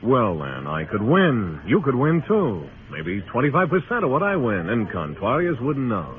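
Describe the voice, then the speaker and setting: An American actor playing a tough, laconic Old West marshal, narrating and speaking tersely in an old radio drama. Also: male voice, medium pitch, wildly swooping pitch, moderate pace. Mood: cool, probing. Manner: terse, dry. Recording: tinny old radio recording with music.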